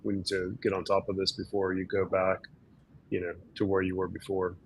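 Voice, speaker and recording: Low-voiced, slightly hoarse male doctor. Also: monotone, brisk, slight background noise, rough recording. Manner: easygoing, explanatory, many fillers. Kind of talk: casual webinar chat.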